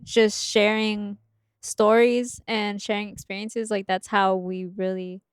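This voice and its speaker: A woman hosting a podcast, chatting in a relaxed, agreeable way with many fillers. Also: medium pitch, slow, clean tone, no room sound, studio quality. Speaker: podcast host. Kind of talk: casual podcast conversation.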